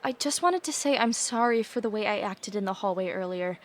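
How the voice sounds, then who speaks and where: A young woman playing an anxious, guilt-ridden teenage girl in an audio play, earnest, apologetic and quick to justify herself. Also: medium pitch, animated, close to an everyday voice. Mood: polite, uneasy.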